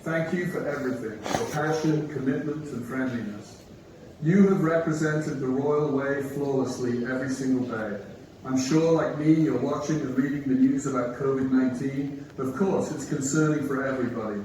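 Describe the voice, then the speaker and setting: A man, a company executive, giving a measured, reassuring prepared video address. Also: mid-range voice, darkish tone, slight background noise. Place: video played on a theater screen. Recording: echoey hall playback from far off.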